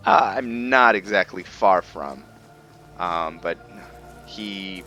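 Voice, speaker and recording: Bright-voiced man giving halting game commentary. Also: sits low, animated, dull audio, soft background music. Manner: halting, reflective, thinking aloud. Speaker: gaming host. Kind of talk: casual roleplay game commentary.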